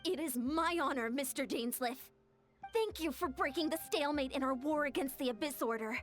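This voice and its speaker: A woman's voice: high-pitched, faint music behind, close to an everyday voice. A woman playing a formal young warrior-diplomat. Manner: formal, measured, polite.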